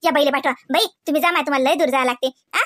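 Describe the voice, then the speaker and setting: A woman doing a soft-spoken older village relative. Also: high-pitched, very fast, dry recording, clean studio sound. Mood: confiding.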